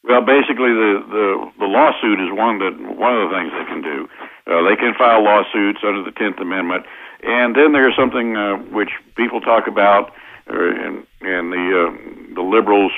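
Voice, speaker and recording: American man on a tinny phone line, low-pitched. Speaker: interview guest, constitutional lawyer. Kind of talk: interview answers, explanatory political talk. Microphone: telephone.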